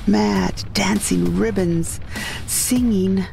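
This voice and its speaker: A woman's voice: mid-range voice, fairly even intonation, drawn-out words, creaky. A woman narrating a first-person comic science fiction audio drama. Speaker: narrator and lead character. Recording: music behind the voice.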